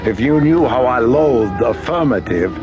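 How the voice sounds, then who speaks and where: An actor playing a pompous, gloating supervisor of a burial satellite, theatrical and drily sardonic in a radio drama. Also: male voice, mid-range voice, animated, a little slow, highs cut off, music in the background, close to an everyday voice. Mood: imperious. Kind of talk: audio drama acting.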